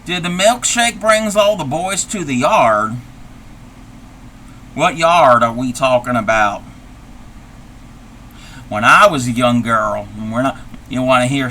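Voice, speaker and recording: A man's voice: medium pitch, pushed and bright, slight background noise, natural voice. A man voicing a chatty, forgetful old grandmother who rambles, repeats herself and reads viewer chat aloud while crafting on a livestream. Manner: rambling, loses her train of thought, repeats herself. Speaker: streamer.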